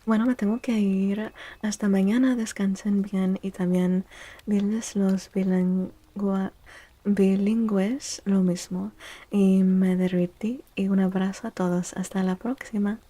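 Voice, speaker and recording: Woman, soft-spoken ASMR host, mellow low-lit tone, husky breathiness, gentle, soothing, unhurried chat. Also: fairly even intonation, no echo. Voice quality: breathy, often half-whispered. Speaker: livestream host doing tarot readings.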